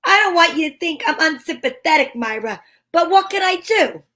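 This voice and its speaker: Woman acting a wisecracking lady detective in an old radio play, high voice, slangy n wry, kinda loose read. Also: expressive, normal speed, bright, forceful tone, heavily acted. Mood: teasing. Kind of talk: amateur reenactment of old-time radio detective drama.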